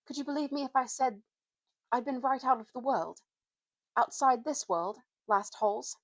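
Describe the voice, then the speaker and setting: A woman voicing an earnest, secretive schoolboy in a story reading, speaking solemnly and haltingly in old-fashioned schoolboy slang. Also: sits high, dry recording, pitched above the performer's own voice. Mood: hesitant, earnest.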